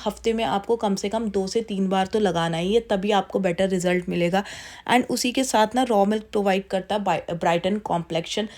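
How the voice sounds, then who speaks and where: A young woman from India, hoarse with a heavy voice from a sore throat, chatting her way step by step through a skincare routine at home. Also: mid-range voice, darkish tone. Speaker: beauty vlogger. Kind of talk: casual skincare tutorial chat. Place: indoors at home.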